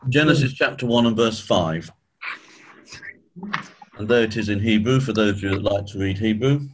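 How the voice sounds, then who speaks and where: A man with a croaky voice, clearing his throat often as he leads a church study session, slowing down on key points. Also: on the low side, noisy background. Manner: measured, slows down on key points. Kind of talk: spoken teaching talk.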